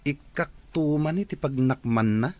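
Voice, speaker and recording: A man's voice: a little high, unhurried, darkish tone, no room sound, lightly acted. A man playing a scheming, disapproving character in a radio drama, with an Ilocano accent. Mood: disapproving, reassuring.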